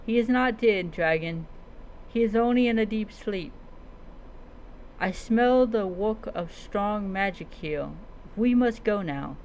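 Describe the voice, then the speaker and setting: A woman's voice: mid-range voice, lively intonation, takes it slowly, darkish tone, slight background noise, poor audio. A woman voicing a solemn old male dragon protector who takes human form, telling his tale dramatically in a fantasy roleplay. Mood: grave, resolute.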